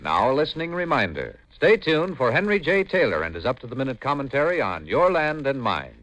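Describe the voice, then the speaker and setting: A man's voice: medium pitch, sing-song, fairly quick, background noise. A man reading scripted announcements in the style of a 1950s radio announcer.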